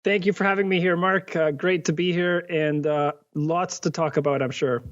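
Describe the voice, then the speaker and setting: A man from Venezuela, a company cofounder, answering interview questions earnestly, often pausing with fillers and restarting sentences. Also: on the high side, steady tone, dull audio.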